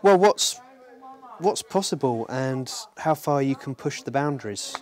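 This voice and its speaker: A man's voice: medium pitch, expressive, unhurried, a little mellow. A man talking reflectively in an interview about his own creative work as a graphic novelist. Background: faint music.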